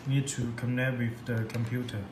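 A man giving an unscripted tutorial in halting, repetitive, simple English. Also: mid-range voice, flat delivery. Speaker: product demonstrator. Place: indoor room at a computer desk. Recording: echoey room with background noise.

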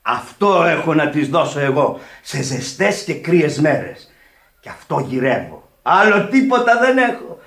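An actor in a radio play playing a young, boastful, clownish working-class Italian suitor, excitable and wheedling, dropping in Italian words. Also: male voice, on the high side. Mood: wooing. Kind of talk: radio theatre acting. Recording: echoey archive radio theatre recording.

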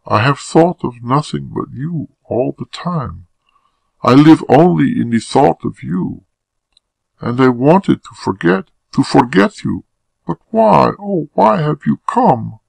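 Audiobook role: man playing a distraught young wife, pitched high, breathy, frightened, urgently pleading. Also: expressive, slow, a little mellow, dry recording, heavily acted. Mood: miserable, yearning. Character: anguished young married woman, frightened and pleading.

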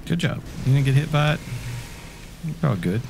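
A man in his thirties giving casual, joking, excitable commentary on a video game, with plenty of swearing. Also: medium pitch, moderate pace, creaky, background noise. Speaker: gaming co-host, commentator.

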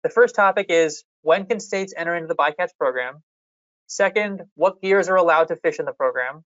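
A fishery management staff member giving a measured, methodical walkthrough of policy options in a public hearing slide presentation. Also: medium pitch, a bit bright, no room sound, dull audio.

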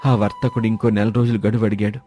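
A man's voice: fairly low pitch, flat delivery, fast talker, mellow, faint music behind, lightly acted. A man playing a naive village farmer and husband in a moral story, gloomy and resigned as he laments. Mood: regretful. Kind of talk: dramatized Telugu moral story.

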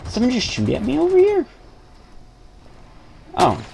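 A young man chats casually as he plays an online game. His pitch swings in an animated way and he likes to tease. There is slight noise behind him. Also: measured pace, creaky. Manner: joking, teasing. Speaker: gamer playing an online shooter. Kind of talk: casual gaming banter.

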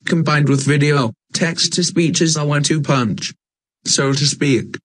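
A man's voice: a little high, measured pace, slightly rough. A synthetic text-to-speech man reading scripted narration.

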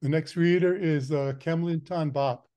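A man's voice: a little high, steady tone, unhurried, dark tone. An older man hosting on a video call, introducing people in an informal, hesitant way. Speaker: host.

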